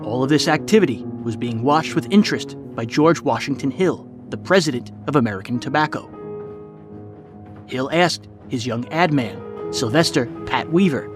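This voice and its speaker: A man delivering scripted documentary narration with measured, formal phrasing. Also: medium pitch, animated, over music. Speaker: podcast narrator.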